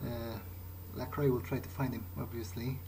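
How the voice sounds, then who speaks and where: A man's voice: mid-range voice, flat delivery, moderate pace, vocal fry, noisy background. A man doing in-character game commentary, thinking aloud in a musing, hesitant way. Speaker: gamer playing in character.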